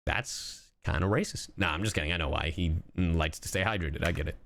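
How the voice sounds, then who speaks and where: A man's voice: low voice, a little fast, a bit bright. A man reacting casually on a livestream as its host, joking irreverently and swearing heavily.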